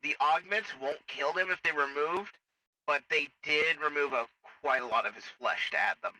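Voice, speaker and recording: A stern, clinical space-opera tech officer , acted by a man in a game roleplay. The voice is very bright and hard-edged, matter-of-fact, on a dry recording a little off the mic. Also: on the high side, normal speed, no echo, distant mic, low-quality recording. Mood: firm, explanatory. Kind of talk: improvised online game roleplay.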